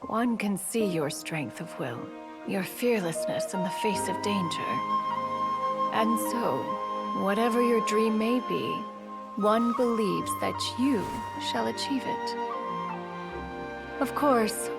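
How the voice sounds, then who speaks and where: A woman's voice: mid-range voice, expressive. A woman voicing a composed, protective female elder in a video game, speaking in a formal, stately manner. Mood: reassuring, solemn. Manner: stately, formal, unhurried, refers to self as "one". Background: loud music.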